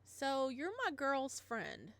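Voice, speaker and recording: A woman voicing a young, protective single father in a visual novel, in a soft, slightly stern way. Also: sits high, big pitch swings, takes it slowly, pitched above the performer's own voice, heavily acted. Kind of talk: reading a visual novel aloud.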